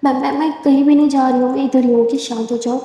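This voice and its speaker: A woman's voice: high voice, little pitch movement, fast talker, lightly acted. A woman voicing a young woman who pleads with and soothes a frightened friend in an echoey room. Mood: soothing. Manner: soft, pleading, rising to urgent protest. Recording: echoey room with long reverb.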